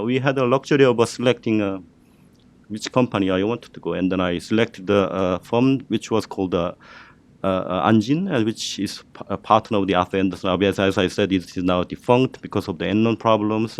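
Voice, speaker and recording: A middle-aged man with a Korean accent speaks on a panel, his voice sitting a little low. He talks haltingly, with many fillers and restarts. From South Korea. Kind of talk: panel discussion storytelling.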